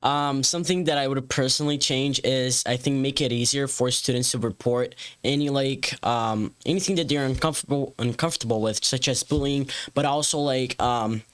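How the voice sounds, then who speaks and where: A teenage boy, a student guest, speaks haltingly and leans on fillers. His voice sits at a middle pitch. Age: teens. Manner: hesitant, filler-heavy.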